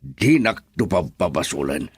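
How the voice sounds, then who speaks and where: A man's voice: low voice, big pitch swings, measured pace, slightly rough, no echo, clean studio sound, full character voice. A man playing a stern, disapproving middle-aged father who warns his daughter in an Ilocano radio drama. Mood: stern resignation.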